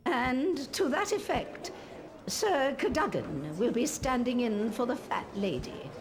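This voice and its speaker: An actress playing a stern but caring senior schoolteacher in a video game, formal and authoritative. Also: female voice, fairly high pitch, expressive, slow. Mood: firm, concerned. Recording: noisy, with room ambience behind.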